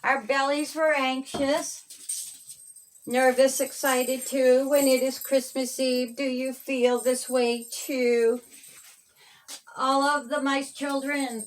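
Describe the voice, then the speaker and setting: Woman, rhyming storybook read-aloud, unhurried and very slow, highish pitch, singsong deliberate phrasing. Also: slight background noise, low-quality recording. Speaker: author reading own children's story.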